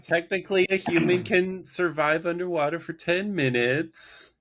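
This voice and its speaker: A man's voice: fairly high pitch, moderate pace, a bit bright. A man co-hosting a podcast, chatting casually in brief interjections. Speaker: podcast co-host. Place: home room, on a group video call.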